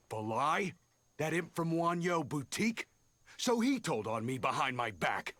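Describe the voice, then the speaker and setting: A man's voice: on the high side, sing-song, slow pace, fairly bright tone, no echo, heavily acted. A man voicing a flustered, guilty merchant in a video game, stammering and sighing. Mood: resigned. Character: flustered guilty merchant caught evading taxes.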